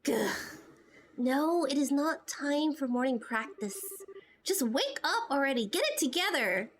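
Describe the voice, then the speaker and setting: Casting: spirited, brash teenage anime game heroine played by an amateur actress, high voice, very animated, music underneath. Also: female voice, measured pace, a little hiss or hum. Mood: exasperated. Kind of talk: amateur video game voice acting.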